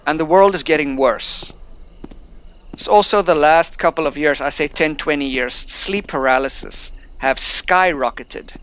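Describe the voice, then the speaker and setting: A young man who learned English as a second language, narrating his gameplay casually. His voice sounds smooth and clear and sits slightly high. He reads the tutorial text aloud, then chats about the game. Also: a little slow, fairly bright tone. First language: not English. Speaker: gameplay streamer. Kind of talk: casual let's-play commentary.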